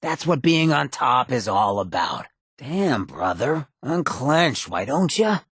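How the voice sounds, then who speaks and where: An actor voicing a cocky, roguish, streetwise ghoul companion in a video game, with a very raspy, gravelly voice and a sly, laid-back swagger. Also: male voice, medium pitch, big pitch swings, takes it slowly, a bit bright, no room sound, clean studio sound, heavily acted. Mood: cocky, coaxing.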